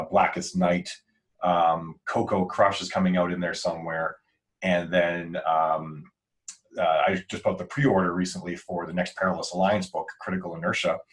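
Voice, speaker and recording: A man talking casually as an author on a podcast, rambling and often interrupting himself. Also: sits low. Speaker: author, podcast crew member.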